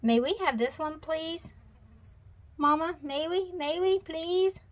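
A woman voicing an eager little girl bear cub who begs excitedly in a storybook. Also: extremely high pitch, slow, pitched above the performer's own voice. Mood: pleading, eager. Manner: excited, begging.

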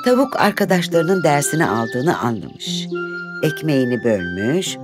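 A woman narrates a children's fairy tale from a script. Her voice is low and animated in its melody, with loud music playing behind her. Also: a little slow.

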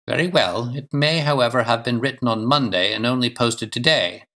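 A man playing a brisk, analytical gentleman detective in an audiobook, speaking formally with precise, clipped delivery. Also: mid-range voice, voice pushed up. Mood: cautious, frank. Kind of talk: audiobook character dialogue.

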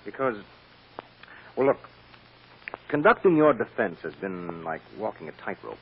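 An actor playing a firm, shrewd defense lawyer with a blunt, emphatic manner in an old-time radio drama. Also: male voice, on the low side, big pitch swings, a little slow. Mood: blunt, pressing. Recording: muffled, hissy old radio recording.

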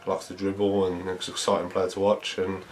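Low, flat-voiced football manager. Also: male voice, a little hiss or hum. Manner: measured, reflective, many fillers. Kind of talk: post-match interview. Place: small indoor press room before a sponsor board.

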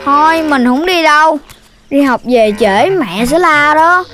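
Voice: high-pitched, measured pace, bright, forceful tone. A voice actor playing a timid, obedient little animal child in a children's cartoon, childlike and hesitant. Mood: reluctant, worried. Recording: faint music behind the voice.

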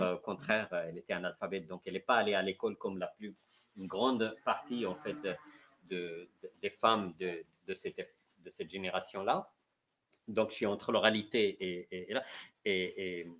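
A man from Morocco, a poet and translator, speaking slowly and haltingly with many restarts at a panel talk before an audience. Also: low-pitched, a bit bright. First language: Moroccan Arabic. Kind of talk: panel discussion. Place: café venue with audience.

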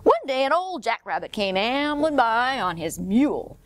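A middle-aged woman storyteller reading a picture book aloud to children in an expressive way. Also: fairly high pitch, normal speed, dry recording. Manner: expressive, clearly paced for children. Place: indoor room, standing before a painted wall.

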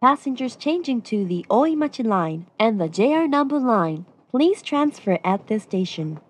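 A woman's voice: fairly high pitch, big pitch swings, slow, breathy edge. A woman delivering scripted train announcements with formal, courteous phrasing.

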